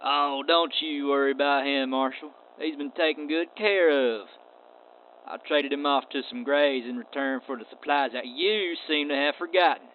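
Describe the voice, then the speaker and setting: A man's voice: fairly high pitch, normal speed, close to an everyday voice. A man voicing a gloating outlaw villain in a space western radio play, with a mock-polite, folksy drawl. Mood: smug menace. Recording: tinny band-limited audio with clear noise.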